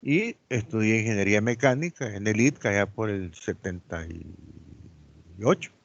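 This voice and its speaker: A man's voice: lowish voice, normal speed, slight background noise. An older man in his sixties with a Salvadoran accent, lecturing on economics and telling personal stories. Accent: Salvadoran Spanish. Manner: animated, tells personal stories, asks rhetorical questions. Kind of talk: university lecture with questions. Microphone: online class audio. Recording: dull lo-fi audio.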